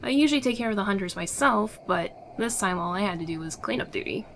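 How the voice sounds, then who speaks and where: A secretive rebel guide acted by a woman in a fantasy audio roleplay. She sounds sure of herself and persuasive, with some theatrical flair at a medium pitch. Faint noise and night crickets are behind her. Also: lively intonation, fast talker, vocal fry, fairly bright tone. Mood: sly, assured. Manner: assured, persuasive, theatrical delivery. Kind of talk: ASMR audio roleplay acting.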